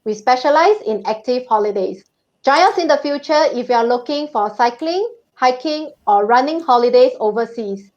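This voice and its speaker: A cheerful woman co-hosts a travel livestream in a high voice. Her chat is bubbly and full of laughs, and the audio is dull and lo-fi. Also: animated, normal speed, a little mellow. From Singapore. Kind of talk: casual live-stream chat.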